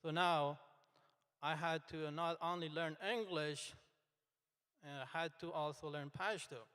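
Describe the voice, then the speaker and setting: A young adult man from Afghanistan, a native Dari speaker, giving an earnest commencement speech in English with halting restarts in a large hall. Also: on the high side, steady tone, bright, forceful tone, smooth. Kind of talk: speech to graduates. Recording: echoey hall, long reverb.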